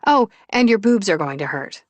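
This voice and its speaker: A woman's voice: mid-range voice, animated, normal speed, no room sound, natural voice. A woman voicing a blunt, supportive young woman, a chatty friend in an audiobook.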